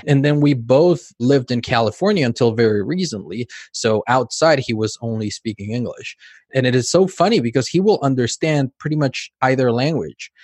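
Man, late 30s, from Mexico, interview host, curious, mid pitch, dry sound. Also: lively intonation, a little mellow, slightly rough, no echo. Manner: curious, unhurried, shares personal stories. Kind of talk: conversational interview questions.

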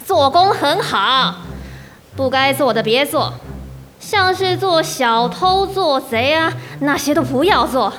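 A scheming blind old landlady, as a woman acts her out in an amateur radio play. Her voice sits high and thin, and she talks slowly, doting on the visitor while something sinister sits underneath. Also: lively intonation, a little hiss or hum, full character voice. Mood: coaxing. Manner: shifts from frail chatter to sharp indignation. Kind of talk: amateur radio drama acting.

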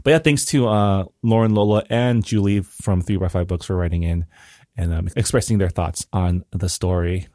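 Relaxed low-voiced man from Hawaii. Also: normal speed, dry recording, studio quality. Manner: relaxed, filler-heavy, laughs along. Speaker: podcast co-host. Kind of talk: casual book discussion.

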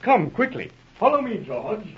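A man playing a gentle, trusting, easily flustered doctor in 1940s radio drama style, earnest and hesitant. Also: high voice, very animated, normal speed, husky, heavily acted. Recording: muffled old radio recording.

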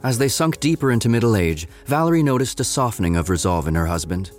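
Male narrator for scripted audio fiction, fairly low pitch, light music bed. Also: expressive, fast, a little mellow.

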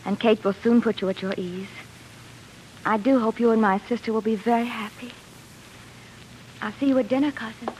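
Actress as gentle young Georgian London lady. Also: on the high side, fairly even intonation, normal speed, pauses often, a little hiss or hum, lightly acted, rough recording. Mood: kindly. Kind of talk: 1940s radio drama acting. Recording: 1940s radio broadcast.

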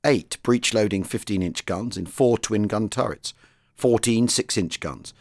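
A man's voice: medium pitch, creaky, dry recording, studio quality. A man presenting and narrating a history programme in a scripted documentary style.

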